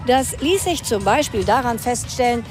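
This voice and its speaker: A woman's voice: fairly high pitch, expressive, normal speed, music in the background. A woman reading a scripted radio news report as a reporter.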